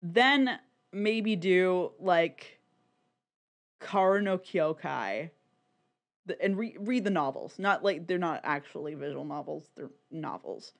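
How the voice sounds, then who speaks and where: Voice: mid-range voice, animated, slow pace, creaky. A podcast co-host in loose, casual conversation. The delivery rambles and leans hard on words for emphasis, with swearing dropped in freely and opinions stated bluntly. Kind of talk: casual, opinionated podcast chat about niche fan media.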